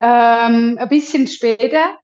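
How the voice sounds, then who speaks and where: A woman with a Lower Franconian accent chats cheerfully as a podcast guest, often starting sentences over. Her voice sits fairly high. The recording sounds dull and lo-fi. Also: slow, a little mellow. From Lower Franconia, Germany. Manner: cheerful, talkative, frequent restarts. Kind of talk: casual interview chat.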